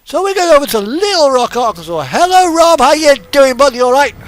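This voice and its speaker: A man's voice: very high-pitched, very animated, fairly quick, no room sound. A man giving casual live commentary as he streams a game, chatting and thinking aloud.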